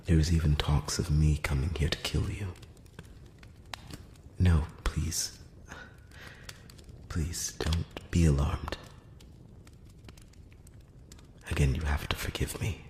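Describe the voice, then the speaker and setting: A solemn, gentle elf envoy voiced by a man whispers and murmurs close up in a fantasy ASMR roleplay. The delivery is slow, halting and measured, with careful courtesy. Long reverb hangs around the hushed voice, over faint background noise. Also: fairly even intonation. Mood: grave, confiding, then earnest, cautious. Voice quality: breathy whisper mixed with very low murmur.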